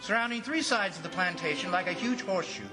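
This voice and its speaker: Man acting an anxious, high-pitched radio-drama commissioner. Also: lively intonation, music in the background. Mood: grave narration. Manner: dramatic, hesitant and halting under worry. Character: anxious, fascinated government commissioner narrating a jungle ordeal.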